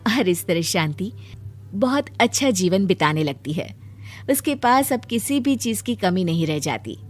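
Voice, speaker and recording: A woman narrating a children's cartoon moral story in a steady, scripted way. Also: medium pitch, unhurried, soft background music.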